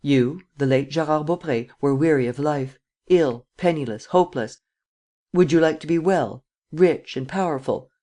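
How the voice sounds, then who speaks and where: A man voicing a coolly sardonic, persuasive prince in an audiobook, speaking with deliberate, imperious command. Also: mid-range voice, darkish tone, natural voice. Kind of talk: dramatic audiobook character acting.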